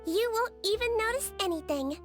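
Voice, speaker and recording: A woman voicing a small, cheerful, caring melusine nurse girl in a video game, with a sweet, polite and gently quirky manner. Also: very high-pitched, animated, normal speed, faint music behind. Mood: chatty, helpful. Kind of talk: animated video game character voice acting.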